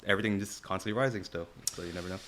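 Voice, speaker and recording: Male podcast co-host, lowish voice, rapid casual talk, slangy and sweary. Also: fairly bright tone. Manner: slangy, peppered with "you know what I mean", swears freely. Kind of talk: casual chat.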